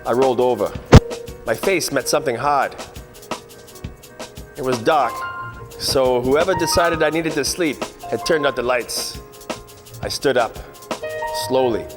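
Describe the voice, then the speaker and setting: An actor playing a wisecracking, world-weary 1940s private eye, narrating in a wry, hard-boiled radio-parody style. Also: male voice, mid-range voice, a little slow, long pauses, natural voice. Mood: weary, sardonic. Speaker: actor narrating the lead part. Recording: long hall reverb, music underneath.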